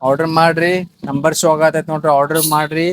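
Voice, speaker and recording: Even, higher-pitched North Karnataka man pitching products. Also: quick pace, slight background noise. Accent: North Karnataka Kannada. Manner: repetitive salesman patter with English words mixed in. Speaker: shop video host and product presenter. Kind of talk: casual product unboxing and sales pitch.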